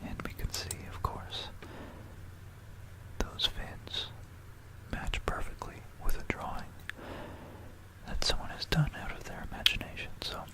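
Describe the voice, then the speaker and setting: An ASMR creator whispering about history in a hushed, rambling, musing way, close into a stereo microphone. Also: a little slow, pauses often, rough recording. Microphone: close stereo binaural microphone.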